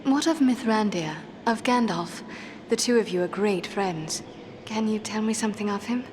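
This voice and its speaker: A woman's voice: medium pitch, moderate pace, darkish tone, a little hiss or hum, lightly acted. A woman playing an earnest, dignified elf adventurer in a fantasy game, speaking in a formal, composed way. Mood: curious. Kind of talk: fantasy game dialogue acting.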